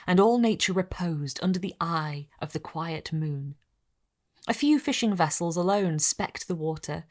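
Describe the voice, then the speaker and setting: A woman narrating a Gothic story in a hushed, measured reading. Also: lowish voice. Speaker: story reader. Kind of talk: dramatic reading of a Gothic novel.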